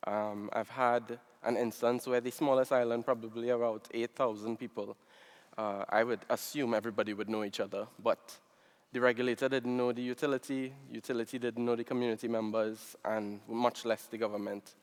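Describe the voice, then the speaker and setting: A man from Trinidad and Tobago, giving a relaxed conference presentation with frequent ums. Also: mid-range voice, brisk, long reverb tail. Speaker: NGO director, panel speaker.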